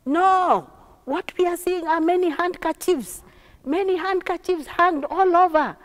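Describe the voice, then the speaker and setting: A woman voicing excitable train passengers in a story, calling out emphatically. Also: extremely high pitch, moderate pace, voice pushed up. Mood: excited. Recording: echoey hall with long reverb.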